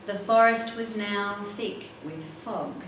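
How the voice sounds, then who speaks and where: A middle-aged woman reading a children's adventure novel aloud in an expressive, dramatic way. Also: medium pitch, takes it slowly. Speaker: author reading own book. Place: indoor library room, seated at a table. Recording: echoey room, distant mic, faint noise.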